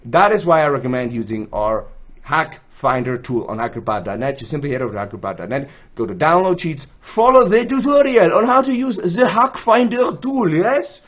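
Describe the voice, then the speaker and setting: Lively young Swiss man explaining. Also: fairly high pitch, moderate pace. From Switzerland. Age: young adult. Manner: chatty, self-joking, gestures while explaining. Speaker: gaming tools channel host. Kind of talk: screen-recorded tutorial. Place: indoors, small room, gaming chair.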